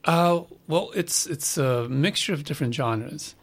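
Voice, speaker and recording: A man from Los Angeles, a musician guest on a radio show, answering in a relaxed, rambling way with lots of fillers. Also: fairly high pitch, measured pace. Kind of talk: casual interview answers.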